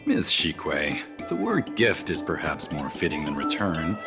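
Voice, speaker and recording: A low, booming trade envoy speaks with stiff formality and lively rises and falls. Background music from the game cutscene plays under him. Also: male voice, unhurried. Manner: formal, correcting politely. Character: formal, proud male off-world trade envoy. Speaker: visiting trade delegate. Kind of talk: video game voice acting.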